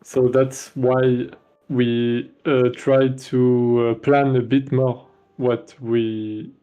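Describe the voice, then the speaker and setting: A man's voice: medium pitch, little pitch movement, extremely slow, mellow, muffled recording. A man with a French accent, a game developer chatting casually on a stream, hesitating often with many fillers and restarts. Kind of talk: casual dev stream chat.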